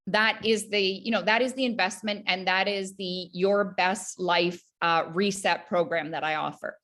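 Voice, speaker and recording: A woman from Canada speaks as a guest on a health interview. She talks earnestly and encouragingly, pausing on fillers and restarting phrases as she explains her coaching work. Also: medium pitch, fairly even intonation, a bit bright. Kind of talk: casual interview talk.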